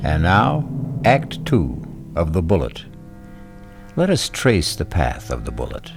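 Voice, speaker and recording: Very deep, slow male radio narrator. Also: wildly swooping pitch, music in the background. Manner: deliberate, theatrical. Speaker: radio drama host and narrator. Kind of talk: dramatic old-time radio narration.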